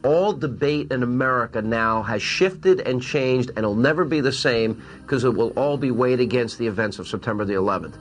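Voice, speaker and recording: A man's voice: medium pitch, normal speed, few pauses. A man who works in talk radio, giving reflective commentary in an interview.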